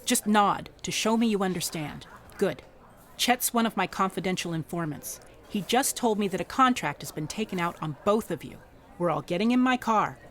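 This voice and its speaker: Actress as female investigator, radio drama lead: shrewd, direct, takes charge, medium-pitched voice. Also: expressive, normal speed, fairly bright tone, a little hiss or hum, close to an everyday voice. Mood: urgent, commanding. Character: no-nonsense female investigator, shrewd, protective, commanding. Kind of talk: contemporary radio drama acting.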